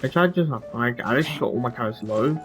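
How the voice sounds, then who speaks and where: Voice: medium pitch, expressive, quick pace, rough recording. A gamer giving casual, offhand commentary on their own playthrough, rambling and muttering to themself. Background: game music.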